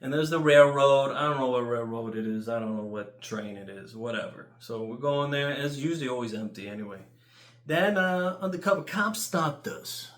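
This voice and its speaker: A man with a New York accent telling casual, rambling stories in an animated, crude way, swearing freely. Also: medium pitch, normal speed, reverberant. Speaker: YouTuber.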